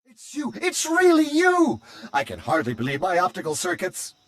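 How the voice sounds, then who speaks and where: An actor voicing a fussy, excitable, talkative droid in a video game, prim and formal in his speech. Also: high voice, normal speed, dry recording, heavily acted. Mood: overjoyed. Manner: prim, exclamatory, formal diction.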